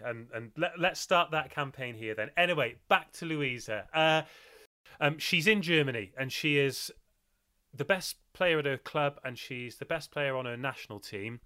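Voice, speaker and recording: A man hosting a casual podcast chat over a video call, chatty and energetic, often trailing off mid-sentence. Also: mid-range voice. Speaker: podcast host. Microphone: video call mic.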